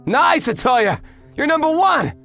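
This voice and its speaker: A man playing a tough but kind, doting yakuza in a manga dub, swinging from blunt and gruff to excitable. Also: extremely high pitch, measured pace, exaggerated voice. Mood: excited, doting. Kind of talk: animated manga dub character acting. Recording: voice over background music.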